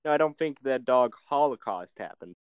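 A man's voice: mid-range voice, animated, moderate pace, clean tone, no echo, muffled recording. A young man co-hosting a movie review podcast, teasing and making crude jokes in casual banter. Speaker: podcast co-host.

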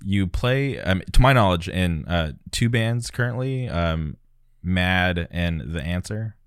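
A man hosts a podcast in a soft, hesitant way and leans on ums. His voice is deep and smooth. It is recorded dry, close to the mic. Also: animated, slow pace, no room sound. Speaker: podcast host. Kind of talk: casual podcast interview.

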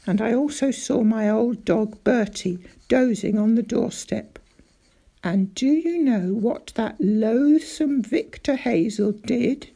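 A woman voicing a small elderly country doctor, excitable and easily outraged, in a storybook reading. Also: fairly high pitch, gravelly, breathy edge, heavily acted. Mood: indignant. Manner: excitable, grave then outraged.

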